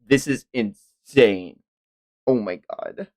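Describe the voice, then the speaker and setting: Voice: medium pitch, slow, darkish tone. A streamer doing a live manga read-along, reacting in an unguarded, sweary way. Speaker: streamer reading manga aloud.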